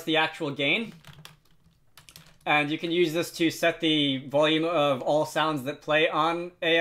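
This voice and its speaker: A man presenting an informal software tutorial, talking off the cuff and often correcting himself as he demonstrates. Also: medium pitch. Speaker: tutorial video host. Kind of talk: unscripted software tutorial narration.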